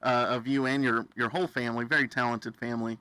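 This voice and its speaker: A man hosting a casual radio interview, with halting, hesitant phrasing. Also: medium pitch, little pitch movement, pushed and bright, clear, resonant tone, dry recording, low-quality recording. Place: radio studio, face to face with guest.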